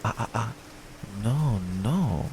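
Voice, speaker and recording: A man's voice: mid-range voice, animated, background noise. A man playing a smug, predatory vampire in an ASMR roleplay, teasing and coaxing as he speaks. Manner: slow, teasing, menacingly coaxing, sometimes whispering.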